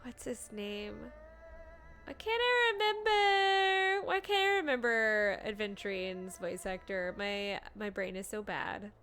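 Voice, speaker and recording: A woman's voice: mid-range voice, animated, takes it slowly, fairly bright tone, soft background music. A woman game streamer giving casual live playthrough commentary, musing and reacting in a playful, fannish way.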